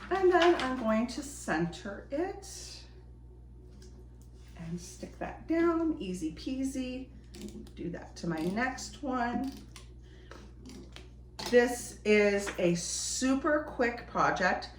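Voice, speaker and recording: A woman chatting enthusiastically to viewers while doing a quick craft project, a bit sniffly from a cold. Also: fairly high pitch, animated. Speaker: DIY vlogger. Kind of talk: casual how-to chat while crafting. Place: home decorating space, indoors. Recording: roomy echo, distant from mic, faint noise.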